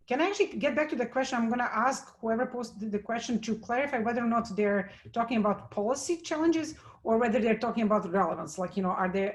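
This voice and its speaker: A woman from Serbia giving a technical conference talk and answering questions, halting often with fillers and restarts. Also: mid-range voice, darkish tone. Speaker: conference speaker.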